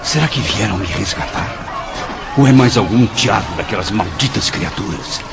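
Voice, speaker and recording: A man's voice: on the low side, lively intonation, moderate pace, dull audio. A Brazilian actor playing an anguished, wary condemned man who recounts his torment in a radio drama, recorded dully over music. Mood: anxious, wary. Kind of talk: dramatic radio-novel acting and narration.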